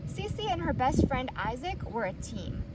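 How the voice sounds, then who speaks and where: A woman reads a picture book aloud to children in a high voice with very lively, expressive intonation. She sounds distant from the mic, with loud outdoor noise around her. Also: a little slow. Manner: expressive storytelling. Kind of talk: children's read-aloud narration.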